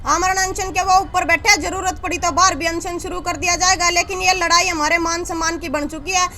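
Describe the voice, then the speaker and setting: Very high, bright-voiced woman making statements. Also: steady tone, fairly quick. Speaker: activist spokesperson at a press briefing. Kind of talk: press statement to reporters.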